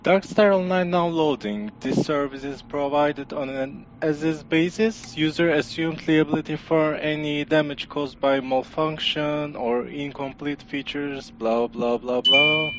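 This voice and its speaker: Casting: male actor as sceptical traveler, audio drama, fairly high male voice, dismissive, muffled noisy sound. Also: a little slow, hardly any pauses, a bit bright. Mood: doubtful, impatient. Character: doubtful, impatient male traveler sceptical of new technology. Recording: dull, noisy lo-fi recording.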